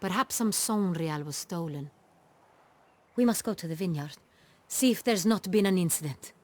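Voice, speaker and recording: Actress as proud ruling duchess, deep female register, regal, formal, strong-willed, fantasy game. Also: normal speed, close to an everyday voice. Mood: insistent.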